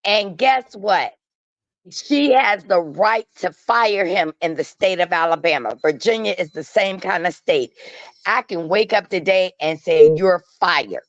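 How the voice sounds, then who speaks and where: A woman's voice: on the low side, unhurried, no echo. A woman giving casual gossip commentary as a guest, emphatic and matter-of-fact, with colloquial phrasing. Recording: dull, lo-fi call audio.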